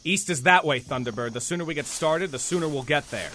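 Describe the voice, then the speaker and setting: An actor playing a stern, dry-witted superhero team leader who gives clipped orders in an audio drama. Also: male voice, a little high, fast talker, bright, forceful tone, slight background noise. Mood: dry, commanding.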